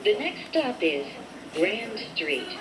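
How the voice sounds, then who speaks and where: A woman reading scripted train announcements in a measured, formulaic manner, in short separate phrases. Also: mid-range voice, big pitch swings, very noisy. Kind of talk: recorded transit announcement, scripted.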